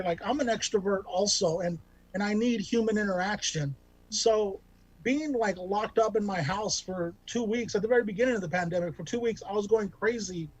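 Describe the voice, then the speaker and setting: A man's voice: high voice, no echo, poor audio. A man in his thirties from California doing a self-deprecating stand-up set over a video call, rambling with lots of ums and restarts. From Bakersfield, California. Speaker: stand-up comic.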